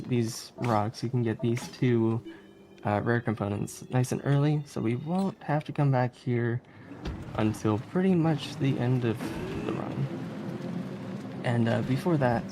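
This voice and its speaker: Clearly noisy stream audio with game ambience sits around a speedrunner talking through a game run. The speaker has a medium pitch and keeps the commentary casual and playful, with a hesitant, chuckly delivery. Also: a little slow, mellow. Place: live streamed event, voice over gameplay audio.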